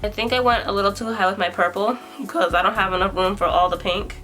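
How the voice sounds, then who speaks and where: Bright-voiced chatty young woman vlogger. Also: medium pitch, fairly even intonation, quick pace, soft background music. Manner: chatty and self-deprecating, thinking aloud while working. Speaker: beauty vlogger. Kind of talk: casual talk-through makeup tutorial.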